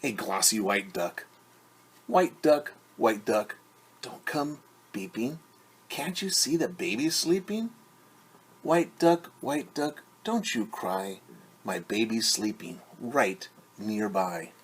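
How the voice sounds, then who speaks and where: A man reading a picture book aloud to young children as a teacher, with sing-song refrains and hushed, whispered asides. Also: on the low side, slow, husky.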